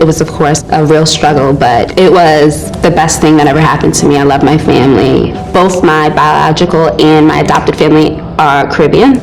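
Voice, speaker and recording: A woman answering dating show interview questions in a composed, measured way. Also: low voice, a little mellow, low-quality recording.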